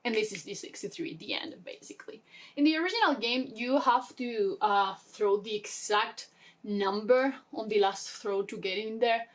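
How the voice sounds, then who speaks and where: A woman's voice: medium pitch, moderate pace. A woman chatting casually as a book vlogger, explaining things informally and interrupting herself with little asides. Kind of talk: casual chat explaining a reading game.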